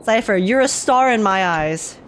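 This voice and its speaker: A woman's voice: medium pitch, animated, normal speed, a little hiss or hum. A woman streaming a game and reacting casually to the story, playful and exclamatory, full of slang. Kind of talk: casual live reaction commentary. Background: game music.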